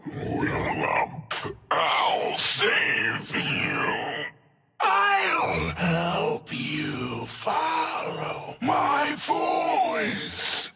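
A monstrous game scarecrow demon cries out in imitation of its terrified victims. The pitch leaps wildly, and the phrases come broken and very drawn out. It all rings in a long, cavernous reverb. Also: male voice, wildly swooping pitch, vocal fry, very noisy, heavily acted. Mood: desperate, haunting. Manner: slow, broken, theatrical outbursts. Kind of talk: video game character voice lines.

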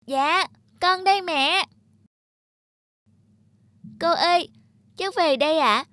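A woman's voice: extremely high pitch, slow pace, sharp tone, full character voice. A woman voicing an innocent, eager little schoolgirl in a cartoon, with a sweet, childlike, pleading manner. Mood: cheerful. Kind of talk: animated moral-tale dubbing.

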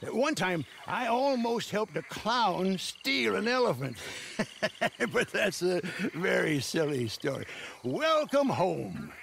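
An actor playing a wry, amiable older American frontier camp keeper in a video game, gravelly and rambling in a folksy, halting way. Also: male voice, sits high, sing-song, a bit bright, a little hiss or hum, full character voice. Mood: nostalgic, friendly. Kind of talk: video game cutscene acting.

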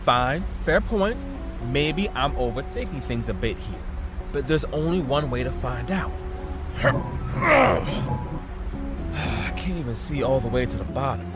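A man's voice: medium pitch, very animated, slow pace, music in the background. An actor playing an earnest, wry teenage boy who is an amateur detective in an audio drama, casual and youthful. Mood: wry, determined. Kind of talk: audio drama narration and dialogue.

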